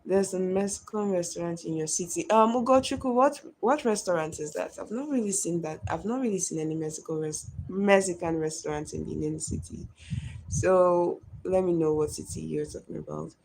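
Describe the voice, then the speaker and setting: Lady in her 20s on a live stream, bit of vocal fry, chatting back to comments. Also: lowish voice, normal speed, darkish tone, a little hiss or hum, rough recording. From Nigeria. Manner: chatty, answers comments one by one. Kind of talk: live stream chat, reading viewer comments.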